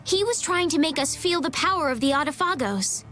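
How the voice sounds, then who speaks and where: A high-pitched, gentle young princess from a video game. She speaks politely and earnestly, with soft music behind her. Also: lively intonation, fairly quick. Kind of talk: English video game voice acting.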